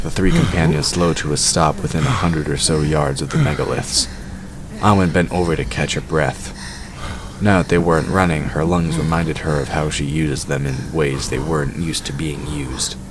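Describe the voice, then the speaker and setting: A man's voice: very deep voice, background noise. A man reading scripted narration for a fantasy audio drama.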